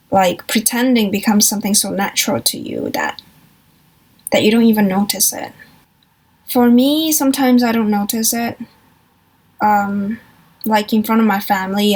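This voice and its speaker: Soft, hesitant young woman. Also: medium pitch, low-quality recording. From Hong Kong. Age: young adult. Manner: soft, hesitant, reflective, with frequent pauses and restarts. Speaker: vlogger. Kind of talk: personal confessional vlog.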